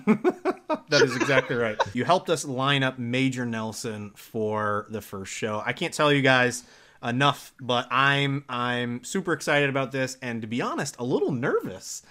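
A man's voice: mid-range voice, very animated, measured pace, bright, forceful tone. A man hosting a casual gaming podcast, upbeat and enthusiastic, a dad in his thirties. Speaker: podcast host.

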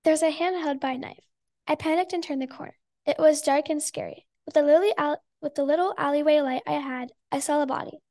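A girl of about eleven reads her own written story aloud. Her voice is very high, with a noticeable crackly fry running through it. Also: brisk, warm tone. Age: child, about eleven or twelve. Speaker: sixth grade student guest.

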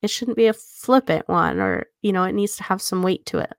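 Woman, mid-pitched voice, casual explanatory discussion, earnest conversational manner, close dry recording. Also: huge pitch swings, a little fast, no echo. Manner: earnest, conversational, poses rhetorical questions. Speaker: podcast co-host.

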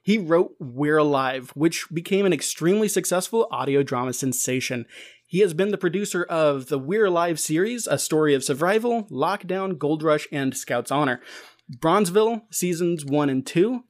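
A man's voice: fairly high pitch, expressive, normal speed. A man hosting a podcast, reading a scripted introduction in an earnest, formal way. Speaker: podcast host and interviewer. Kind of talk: scripted introduction read aloud.

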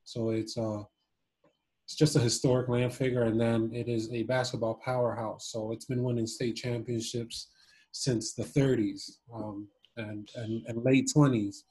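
Relaxed, even-toned young man. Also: medium pitch, a little slow, husky, poor audio. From Cuba and Miami. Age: 20s. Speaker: interview guest, former basketball player. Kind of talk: casual reflective interview chat.

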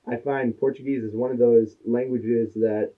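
A man's voice: mid-range voice, steady tone, normal speed. A man co-hosting a podcast in casual chat, telling anecdotes and quoting others as he goes. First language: English. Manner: chatty, tells anecdotes, quotes others in his stories. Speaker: podcast co-host. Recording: tinny phone-like sound.

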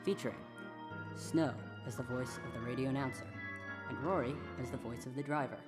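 Someone reading podcast end credits off a script, music clearly going underneath. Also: mid-range voice, a little fast. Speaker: credits reader.

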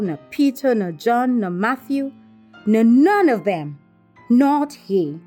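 Audiobook role: gloating rustic old manservant, preachy, slow drawl. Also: female voice, on the high side, mellow, pitched above the performer's own voice.

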